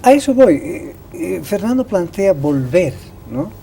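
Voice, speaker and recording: A woman's voice: fairly low pitch, very animated, measured pace, creaky, dark tone, a little hiss or hum. A woman with a Bolivian Spanish accent, hosting an interview in a conversational way and feeling her way through her questions. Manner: hesitant, reformulating questions. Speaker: interview host.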